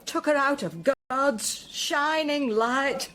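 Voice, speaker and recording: Distraught religious mother, radio drama, high swooping voice, halting, anguished. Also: lively intonation, fairly bright tone, a little hiss or hum, exaggerated voice. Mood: bitter grief. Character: distraught, devout mother of a runaway daughter.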